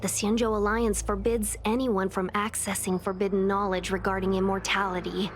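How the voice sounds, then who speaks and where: A woman playing a solemn, judgmental figure of an immortal people in a video game, measured, formal and ominous. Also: medium pitch, level tone, lightly acted. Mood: cool, judgmental. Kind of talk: video game character acting. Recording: game music clear behind the voice.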